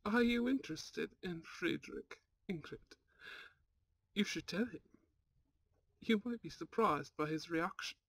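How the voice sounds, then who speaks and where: Young nobleman voiced by a woman, haughty yet kind, unhurried formal delivery, dry intimate ASMR roleplay sound. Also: fairly low pitch, expressive, breathy edge, dry recording. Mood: playful. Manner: formal, self-assured, teasing. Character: suave, haughty young male lord, kind at heart.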